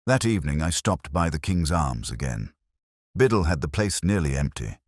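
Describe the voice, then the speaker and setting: A man voicing a wry, literary middle-aged male detective, narrating a mystery in a measured, reflective first person. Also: a bass voice, animated, dry recording, clean studio sound. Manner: measured, reflective, quietly observant.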